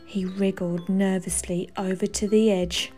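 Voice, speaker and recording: A woman storyteller reading a children's bedtime story aloud, expressive, with dramatic emphasis. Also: medium pitch, measured pace, faint music behind. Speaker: storyteller, channel host.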